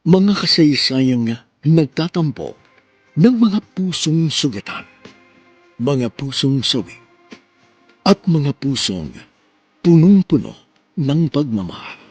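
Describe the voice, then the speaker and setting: A man hosts and narrates a radio romance drama in earnest, sentimental tones. His voice sits somewhat high and rises and falls with plenty of expression. Soft music plays faintly behind him. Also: sing-song, slow pace, pauses often, slightly rough, no room sound.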